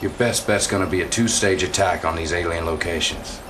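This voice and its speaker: An actor playing a weary, doubting field officer in a video game cutscene, talking in a blunt, confiding, colloquial way. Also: male voice, low voice, fairly quick, lightly acted. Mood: troubled, blunt. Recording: distant mic, clearly noisy background.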